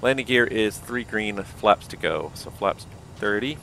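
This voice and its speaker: A man flying a simulated airliner, thinking aloud and calling out checklists, with a voice that is going hoarse. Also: on the low side, animated, unhurried, pushed and bright, a little hiss or hum. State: losing his voice. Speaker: flight simulator pilot. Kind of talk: casual cockpit commentary.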